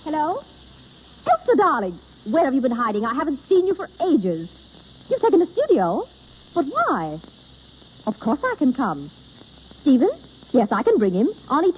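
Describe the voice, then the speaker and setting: Lively, high-voiced young society woman. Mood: excited, curious. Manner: animated, teasing, frank. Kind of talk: 1930s radio acting. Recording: scratchy, muffled old radio broadcast.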